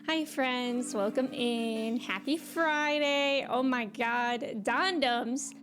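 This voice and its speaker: A woman's voice: on the high side, takes it slowly. A woman hosting a livestream, chatting casually and informally as she greets her viewers, with a giggly manner. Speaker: live game streamer hosting.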